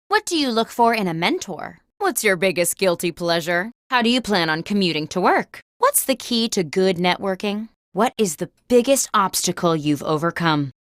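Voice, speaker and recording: A woman playing a chipper corporate office interviewer who reads scripted party game questions in a crisp, expressive way. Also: medium pitch, no room sound, clean studio sound, natural voice. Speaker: game host.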